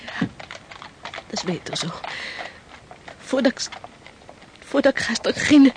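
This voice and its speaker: Radio play: sailor's devoted sweetheart, highish voice, tearful but brave, faint background noise. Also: female voice, lively intonation, a little slow. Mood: tender, near tears. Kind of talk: radio drama acting.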